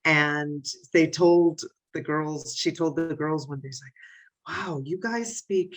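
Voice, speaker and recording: A woman's voice: low voice, slow pace. A woman guest in a casual chat who talks hesitantly with frequent restarts.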